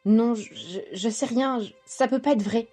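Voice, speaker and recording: Casting: woman playing hard-nosed young crime-family sister, curt delivery, dark soft timbre, light music underneath. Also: a little high, moderate pace, breathy edge. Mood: shaken. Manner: curt, scolding, dry. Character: tough, impatient young mafia sister. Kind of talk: French audio drama acting. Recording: faint music behind.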